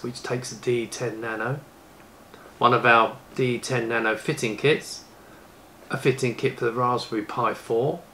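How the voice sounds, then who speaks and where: A man's voice: medium pitch, pushed and bright, a little hiss or hum. A man, presenting a casual retro computing vlog. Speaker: YouTube presenter and computer case maker. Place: indoor rooms with shelves of retro computers.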